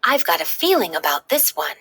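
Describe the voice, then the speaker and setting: A woman voicing a loyal, cheering male imaginary friend from a children's story, excitable and big-hearted. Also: fairly high pitch, brisk, husky, heavily acted. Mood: hopeful.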